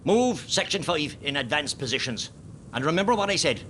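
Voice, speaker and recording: An actor playing a grave guerrilla commander with deliberate, authoritative delivery in a radio play. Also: male voice, on the high side, very animated, fairly bright tone, a little hiss or hum. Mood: commanding.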